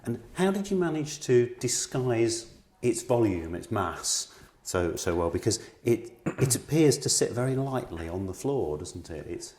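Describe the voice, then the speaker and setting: A man's voice: mid-range voice, sing-song, unhurried, a little mellow. A man interviewing in a conversational style, agreeable and appreciative, often affirming what he hears. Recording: roomy echo on the voice.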